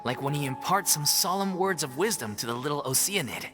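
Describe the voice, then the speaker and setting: An easygoing, jovial food lover, acted by a man in an anime game. His delivery is animated and unbothered, with big swings in pitch and faint music behind. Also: brisk, natural voice. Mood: cheerful. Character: easygoing, food-loving, good-humoured male stage actor.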